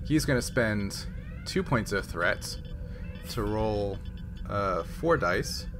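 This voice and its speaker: A man running a tabletop roleplaying game as game master, explaining rules and thinking aloud in a halting way. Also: lowish voice, lively intonation, over music. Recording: noisy background.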